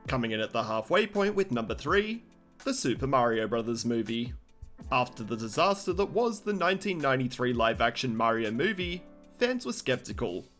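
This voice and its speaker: A man's voice: medium pitch, fairly bright tone. A man hosting a scripted countdown review, narrating as a video presenter.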